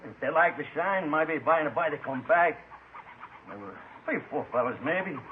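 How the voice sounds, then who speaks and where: A man's voice: on the high side, lively intonation, moderate pace, close to an everyday voice. An actor playing a folksy, evasive shoeshine man in an old radio crime drama, speaking hesitant, repetitive broken English. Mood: obliging. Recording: scratchy, phone-like vintage radio recording.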